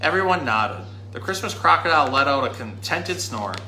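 A man in his thirties reading a children's story aloud in an animated, dramatic storytime manner. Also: fairly high pitch, normal speed. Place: indoor room. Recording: roomy indoor sound with faint noise.

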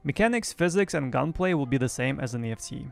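Young guy doing gaming news recap, bit of creak in his voice, faint music behind. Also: medium pitch, lively intonation, fast talker. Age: young adult. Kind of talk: semi-scripted news recap. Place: small home streaming room.

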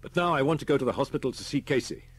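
A man's voice: medium pitch, very fast, slightly rough, voice pushed up, close to an everyday voice. A man with a British accent voicing an anxious, impatient man in an audiobook, urgent and questioning. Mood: agitated. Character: anxious, impatient man pressing the police for action. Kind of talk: audiobook character voice.

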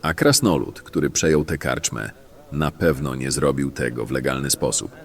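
Man playing a low, husky, cynical bandit. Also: expressive, moderate pace, exaggerated voice. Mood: reasoning. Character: gruff, cynical, calculating male bandit in a fantasy world. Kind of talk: fantasy audio drama acting.

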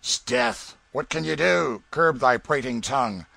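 A man's voice: medium pitch, lively intonation, moderate pace, fairly bright tone, no room sound. A man voicing a brutal, pompous tyrant count from a storybook, with bombastic, threatening archaic speech. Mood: blustering rage.

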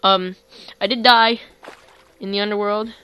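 A woman casually commentating her own gameplay for viewers, chatty and quick to get excited. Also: mid-range voice, clear, resonant tone, muffled recording. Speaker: gaming YouTuber. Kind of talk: let's play commentary.

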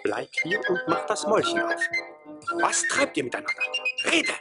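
An actor playing a jealous, hot-tempered craftsman in a radio play, with a gravelly, husky voice. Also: high-pitched, wildly swooping pitch, moderate pace, over loud music. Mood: jealous, demanding. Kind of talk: theatrical German radio play acting.

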